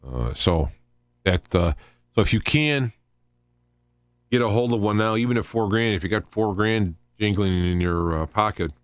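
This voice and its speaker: A man with a lowish voice talks as a radio host in a very dry-sounding space. He explains things informally and at length, wandering as he goes and often restarting words in a halting way. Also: dry recording. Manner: rambling, explanatory, halting with repeated word starts. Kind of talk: informal live radio talk monologue.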